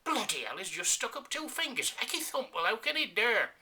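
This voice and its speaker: Man playing a dim pompous prince, raised voice, blustering, bright tone, lively swings. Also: sits high, fairly quick, breathy edge, pitched above the performer's own voice, full character voice. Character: thick, pompous medieval male prince.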